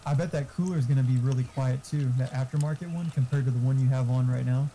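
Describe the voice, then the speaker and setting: A man's voice: medium pitch, steady tone, fast, dark tone, slight background noise, poor audio. A man chatting casually during a game stream, explaining things in a rambling way and swearing freely. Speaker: gamer friend giving tech advice.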